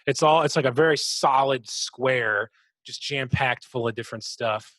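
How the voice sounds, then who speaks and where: Medium-pitched man in casual gaming chat. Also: normal speed, fairly bright tone, no echo. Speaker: podcast co-host. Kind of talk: casual video game chat.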